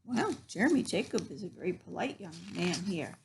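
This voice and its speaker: A woman's voice: fairly low pitch, big pitch swings, normal speed, slight background noise. A woman reading a picture book aloud to children as a library story reader, instructive and given to asides to her listeners.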